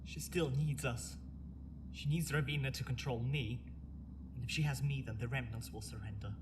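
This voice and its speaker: A woman playing a quick-witted young woman escaping captivity in an audio drama, urgent and wry. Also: rumbling low, background noise. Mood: bitter. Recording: loud music score behind.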